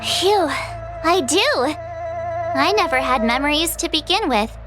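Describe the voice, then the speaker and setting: A woman's voice: sits high, expressive, measured pace, over music. An actress voicing a bubbly, naive young girl adventurer in a video game. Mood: light, sincere.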